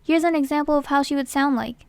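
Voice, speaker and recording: A woman's voice: sits high, steady tone, quick pace, darkish tone, no echo. A woman hosting a casting call with scripted narration.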